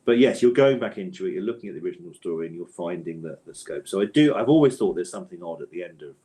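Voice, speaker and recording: A man's voice: sits low, lively intonation, very fast, mellow. A man being interviewed as an author, talking conversationally in a thoughtful, hesitant way and often circling back to rephrase.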